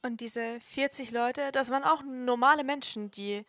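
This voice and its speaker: Higher-voiced woman speaking slowly about faith. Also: fairly bright tone, no room sound. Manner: slow, deliberate phrasing in short pieces. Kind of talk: earnest faith talk to viewers.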